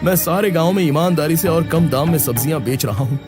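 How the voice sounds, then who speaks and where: A man voicing a humble, hardworking village vegetable seller who speaks gently and earnestly, with music behind. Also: a little high, voice pushed up, close to an everyday voice. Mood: dejected. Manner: gentle, earnest; drawn-out hawking calls. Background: background music.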